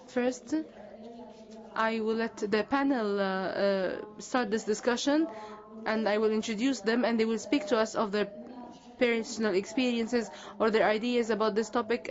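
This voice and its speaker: A woman's voice: a little high, dull audio. Hesitant woman interpreting a conference panel. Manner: halting, many hesitations and self-corrections.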